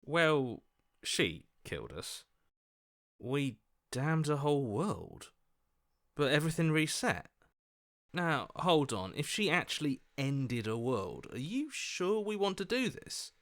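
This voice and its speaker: Game role: earnest everyman inner voice, sceptical and reasoning aloud, expressive rises and falls. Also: fairly high pitch, slow, exaggerated voice. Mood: doubtful, uneasy. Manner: questioning, hesitant, reasoning aloud. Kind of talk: video game voice acting.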